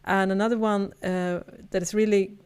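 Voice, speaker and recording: Swedish-accented woman, smooth clear voice. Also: medium pitch, little pitch movement, moderate pace, a little mellow. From Sweden. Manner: chatty, laughs often, many restarts. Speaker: podcast co-host. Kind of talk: casual chat.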